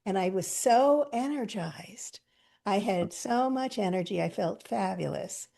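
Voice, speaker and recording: A woman's voice: mid-range voice, lively intonation, measured pace, creaky, dark tone. A woman hosting a group video call, chatty and enthusiastic, telling stories and laughing often. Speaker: host.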